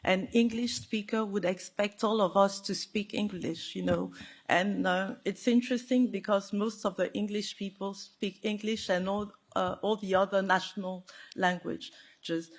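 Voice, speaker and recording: A woman's voice: medium pitch, fairly even intonation, measured pace. A woman whose first language is French, speaking frankly on a panel with frequent hesitations. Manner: frank, hesitant with many fillers. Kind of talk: panel discussion.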